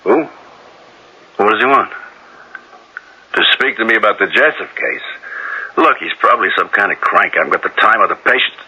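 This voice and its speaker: Gruff low-voiced police sergeant. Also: male voice, animated, moderate pace, pauses often, bright, forceful tone, lo-fi sound, heavily acted. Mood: weary, irritated. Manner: brusque, pressing, skeptical. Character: gruff, impatient middle-aged male police sergeant interrogating. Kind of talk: 1970s radio drama acting.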